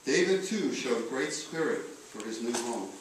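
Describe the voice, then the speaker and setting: A man reading a eulogy aloud in a solemn style, with measured, deliberate phrasing and pauses. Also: medium pitch, lively intonation. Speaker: eulogist reading letters at a memorial. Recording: echoey room, distant mic, faint noise.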